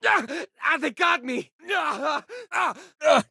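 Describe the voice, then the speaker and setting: An actor playing an easygoing young space miner in a video game, with a casual, joking way of talking. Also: male voice, very high voice, lively intonation, takes it slowly, gravelly, bright, forceful tone, dry recording, clean studio sound, heavily acted. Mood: urgent combat shouts. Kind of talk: video game companion voice lines.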